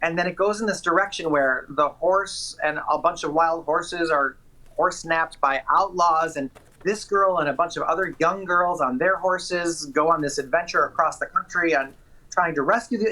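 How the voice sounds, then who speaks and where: Bright-voiced, emphatic man reviewing movies. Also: on the high side, normal speed, low-quality recording. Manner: enthusiastic, emphatic, opinionated. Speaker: film critic reviewing new movies. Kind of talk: chatty broadcast movie review.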